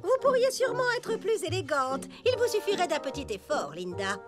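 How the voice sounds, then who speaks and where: A woman's voice: very high-pitched, very animated, fairly quick. A woman voicing a haughty, affected elephant lady in a children's cartoon, condescending and snobbish. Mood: smug. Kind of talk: French children's cartoon acting. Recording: music behind the voice.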